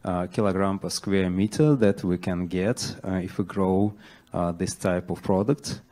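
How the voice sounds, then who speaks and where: Low, slow-spoken man presenting. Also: fairly even intonation, mellow. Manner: hesitant, many "uh" fillers and restarts. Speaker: conference presenter. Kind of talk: conference talk with slides. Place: lecture hall.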